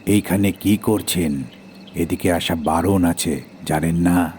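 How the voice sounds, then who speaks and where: A man's voice: deep voice, steady tone, takes it slowly, darkish tone, a little hiss or hum, voice pushed down. A man voicing a menacing heavyset village guard in a horror audio story, speaking curtly in a cold, warning tone.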